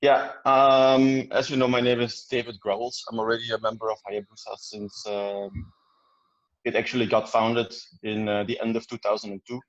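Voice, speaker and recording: A man's voice: mid-range voice, little pitch movement, moderate pace. A man talking about his team on a video call, hesitant and full of fillers. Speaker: founding team member. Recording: dull lo-fi call audio.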